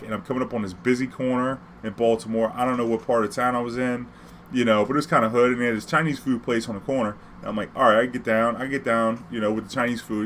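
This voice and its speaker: A man's voice: mid-range voice, a bit bright, slight background noise. A man from New Orleans with an American accent, telling personal stories casually in profane street slang, acting out the dialogue.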